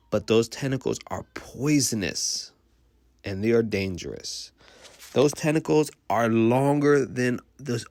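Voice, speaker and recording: A man's voice: medium pitch, no room sound. A man reading a children's picture book aloud like a teacher, explaining simply and putting questions to young listeners.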